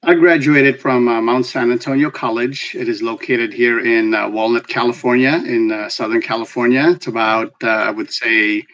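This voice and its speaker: Male guest educator, Filipino accent, mid-pitched, measured and explanatory in conversational interview answers. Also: fairly even intonation, fairly bright tone, muffled recording. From Philippines. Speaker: guest, respiratory therapy educator.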